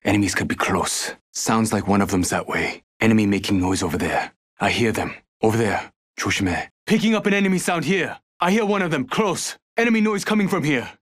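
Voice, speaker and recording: Quick, curt, disdainful fighter. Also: male voice, on the low side, lively intonation. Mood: curt, alert. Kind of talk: video game voice lines.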